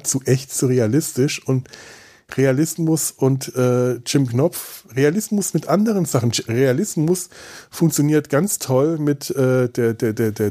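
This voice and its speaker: A man speaking casually as a podcast host, thinking aloud in a halting way and repeating words as he searches. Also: medium pitch, warm tone.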